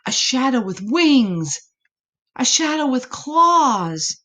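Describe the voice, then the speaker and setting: A woman reading a children's story expressively, with dramatic pauses and drawn-out words. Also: sits high, very animated, bright, forceful tone. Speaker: storybook reader.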